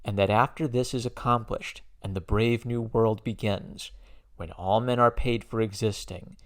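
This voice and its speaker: A man giving a dramatic poetry reading with a gravelly voice and measured, declamatory phrasing with emphatic stresses. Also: fairly low pitch, fairly even intonation. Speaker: poetry reader.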